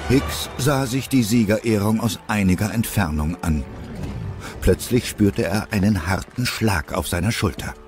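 Low-voiced male story narrator. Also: unhurried. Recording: narration over music.

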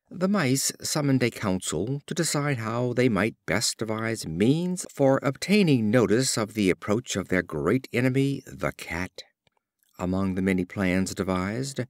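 Animated low-voiced male storyteller. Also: no room sound, clean studio sound. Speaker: audiobook narrator. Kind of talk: expressive scripted storybook narration.

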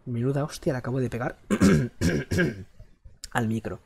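A man from Spain chatting while he plays, rambling and crude, keeps clearing his throat, speaking into a headset mic. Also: mid-range voice, expressive, measured pace, a little hiss or hum. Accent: Spain Spanish. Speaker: gaming streamer. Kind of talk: casual gameplay chat. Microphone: headset mic close to the face.

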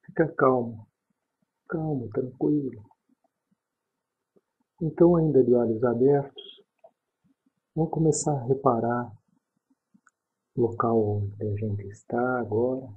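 A man with a Brazilian accent leading a guided sleep meditation, calm and unhurried, pausing between short phrases in a husky, breathy voice. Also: medium pitch. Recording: dull, muffled lo-fi recording.

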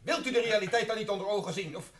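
An outraged stammering general, high bright voice, old radio play. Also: male voice, racing. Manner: indignant, stammering.

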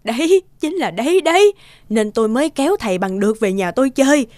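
Woman voicing a hearty, high-pitched village housewife. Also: animated, quick pace, a little mellow, no echo, natural voice. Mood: grateful, hospitable. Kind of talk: Vietnamese folk-tale cartoon dubbing.

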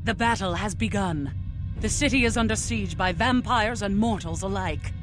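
Woman acting a grave, commanding vampire elder, mid-pitched. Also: moderate pace, music in the background, close to an everyday voice. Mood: urgent. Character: stern, foreboding female vampire elder and sire. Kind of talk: archaic video game acting.

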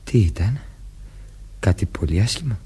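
Radio mystery play: jittery young man, childlike and plaintive, very husky, mellow tone, hesitant delivery. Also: lowish voice, lively intonation. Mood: hesitant, gentle. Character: Christopher, nervous childlike young man suspected of murder. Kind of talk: Greek radio theatre acting.

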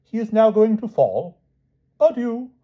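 A feeble, formal foreign knight, voiced by a man, speaks in a thin, high, slow voice. His phrasing is stiff and stilted. The recording sounds dull and lo-fi. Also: big pitch swings, full character voice.

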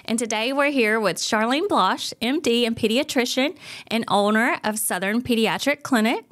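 A woman's voice: a little high, normal speed, fairly bright tone, dry recording, studio quality. A middle-aged woman co-hosting a podcast in a conversational interviewing style. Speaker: podcast co-host. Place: small indoor podcast studio. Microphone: boom-arm podcast microphone.

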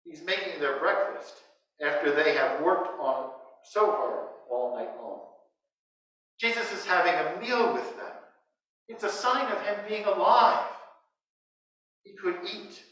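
An American man in his sixties preaching a sermon as a priest, in measured short phrases with pauses, speaking through a face mask inside a church. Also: high-pitched, lively intonation, fairly bright tone. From United States. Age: 60s. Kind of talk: sermon read from notes. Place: inside a church, standing before the altar. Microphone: distant microphone, speaking through a face mask. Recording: very echoey church, distant mic.

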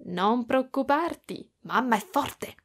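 A woman voicing a doting, clingy motherly spider-woman in an ASMR roleplay, speaking softly and affectionately with whispery stretches. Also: on the high side, animated, slow, slight background noise. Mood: caring, cheerful. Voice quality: soft, breathy with whispery stretches. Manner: cooing, affectionate, giggly, pet names.

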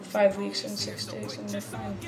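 A woman's voice: medium pitch, completely flat delivery, a little slow, vocal fry, music in the background. A young woman in her twenties casually talking to her phone camera at home, chatty and a bit self-conscious. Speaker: fitness vlogger. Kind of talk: casual vlog talk to camera. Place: home rooms, gym room and a parked car. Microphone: handheld phone camera at arm's length.

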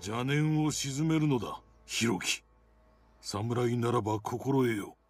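Slow, solemn older samurai master. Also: male voice, medium pitch, slight background noise. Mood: solemn, calm. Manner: solemn, measured, commanding. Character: stern, calm older male samurai sword master. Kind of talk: samurai game drama acting.

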